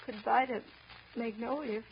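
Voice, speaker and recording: An actress playing a weary, gentle, resigned showboat performer in a 1930s radio drama, speaking haltingly. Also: female voice, a little high, steady tone, natural voice. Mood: sad, resigned. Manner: halting, pleading. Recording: muffled, noisy 1930s radio broadcast recording.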